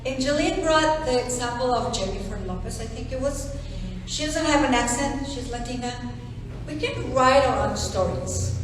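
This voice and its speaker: Animated Mexican-accented woman in echoey hall. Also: fairly high pitch, noisy background. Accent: Mexican Spanish. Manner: animated, emphatic, quick asides. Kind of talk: panel discussion. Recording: echoey hall, far from mic.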